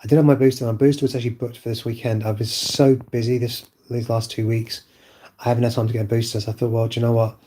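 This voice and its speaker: Man, unwell, low flat voice, mellow dark tone, rambling casual vlog at home. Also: quick pace, slight background noise. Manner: rambling, hesitant, many fillers and restarts. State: unwell, voice lowered by illness. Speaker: vlogger. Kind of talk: casual monologue to camera. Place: at home indoors.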